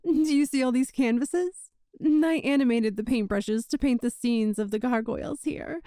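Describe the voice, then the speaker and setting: A woman's voice: fairly high pitch, moderate pace, dark tone, dry recording, clean studio sound, natural voice. A woman playing a sweet, possessive young witch shopkeeper in an ASMR roleplay, with a coy, doting, teasing manner. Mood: gleeful, doting. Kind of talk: ASMR romantic roleplay audio.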